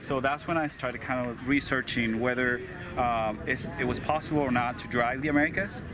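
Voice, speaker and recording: A man's voice: mid-range voice, steady tone, a little fast. A man from Venezuela with a Venezuelan Spanish accent, talking in a hesitant, casual way as an interview guest. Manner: hesitant, many fillers and restarts. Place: outdoors at an overland rally. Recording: outdoor recording with clear background noise.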